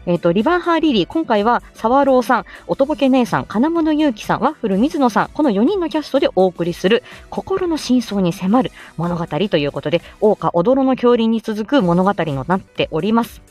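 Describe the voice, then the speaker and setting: A woman's voice: fairly high pitch, very animated, fairly quick, hardly any pauses, a little mellow, faint music behind. A woman hosting a casual audio livestream, chatting to listeners in a giggly, effusive, rambling way. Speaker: livestream host and amateur voice actor.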